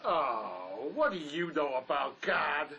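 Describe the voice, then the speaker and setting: A man's voice: fairly high pitch, sing-song, takes it slowly, vocal fry, highs cut off, crowd noise, full character voice. A man acting an anxious, remorseful fugitive who plays peacemaker in a drama reading, earnest and pleading. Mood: defensive.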